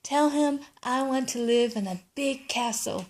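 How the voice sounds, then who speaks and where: A woman voicing a mean, bossy old grandma in a bedtime story, shrill and demanding. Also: measured pace, darkish tone.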